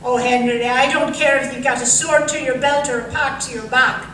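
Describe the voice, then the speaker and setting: A woman's voice: sits high, steady tone, moderate pace, fairly bright tone, slight background noise. A woman playing a devoted young soldier's sweetheart in an old-time radio drama, earnest and imploring. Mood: pleading, loving. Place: live stage performance in a museum. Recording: very echoey hall, distant mic.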